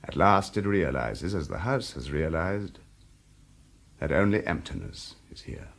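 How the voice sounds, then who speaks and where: A man narrating a scripted story in a measured, theatrical manner, with deliberate pauses. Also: low voice, lively intonation, vocal fry, a bit bright, a little hiss or hum. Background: music.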